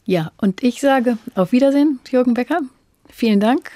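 Very mellow, higher-pitched woman radio host. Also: animated, moderate pace, no room sound, clean studio sound. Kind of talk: radio presenter sign-off. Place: studio. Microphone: studio microphone.

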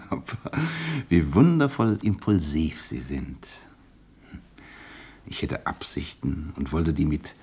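A middle-aged charmer in a classic radio drama. His low voice is husky and swings widely in pitch. He flatters effusively, hesitating and chuckling as he presses his suit. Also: exaggerated voice. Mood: flirtatious, insistent. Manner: effusive, ingratiating, chuckling, halting. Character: smooth, flattering middle-aged bachelor businessman, insistently wooing. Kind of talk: German radio play acting.

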